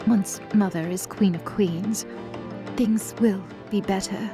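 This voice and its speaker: A woman voicing a cold, vengeful goddess who speaks in a deliberate, threatening, dramatic way. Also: mid-range voice, fairly even intonation, over music, close to an everyday voice. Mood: resentful. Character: cold, vengeful, menacing goddess, bitterly accusing.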